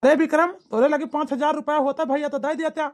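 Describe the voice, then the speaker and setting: Female performer doing cartoon village folk, high pitch, Awadhi accent, emphatic declamatory speech, family comedy style. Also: fairly even intonation, fairly quick, close to an everyday voice. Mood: pleading. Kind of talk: exaggerated cartoon comedy dialogue.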